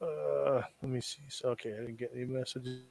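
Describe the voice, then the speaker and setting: A man's voice: medium pitch, fairly even intonation, fairly quick, gravelly, a little mellow, slight background noise. A man co-hosting a live stream in casual chat, laid-back and joking, laughing often. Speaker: stream co-host.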